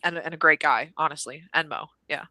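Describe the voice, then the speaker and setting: A man's voice: a little high, fairly quick, bright, forceful tone. A man chatting casually as a guest artist in an interview.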